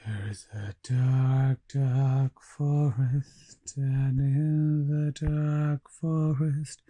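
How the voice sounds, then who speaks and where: A performer playing a haunting teller of a mournful dark tale, halting phrase by phrase like a lullaby in an audio drama. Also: mid-range voice, steady tone, no echo. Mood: eerie, mournful. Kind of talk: slow lullaby-like dark storytelling.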